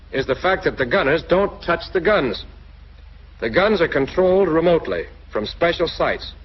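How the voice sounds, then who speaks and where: A man's voice: a little high, breathy edge, muffled recording. An American man narrating a 1940s military instructional film, addressing the trainee directly and instructively on an old film soundtrack.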